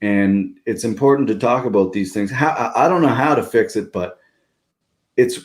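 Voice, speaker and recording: A man's voice: mid-range voice, normal speed. A man talking earnestly in a casual podcast chat, often calling the other speaker "man".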